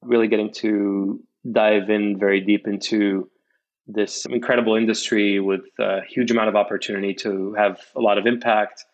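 A man in his forties from Morocco, a company founder telling his story as an interview guest in a measured, reflective way with fillers and restarts. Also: fairly low pitch. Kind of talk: casual interview.

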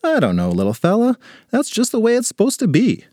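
Guy doing a bossy sarcastic fifth grade girl, dramatic, lightly raised pitch, very up and down, storybook. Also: huge pitch swings, a little fast, a little mellow, dry recording, clean studio sound. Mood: matter-of-fact. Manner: exasperated, dramatic delivery.

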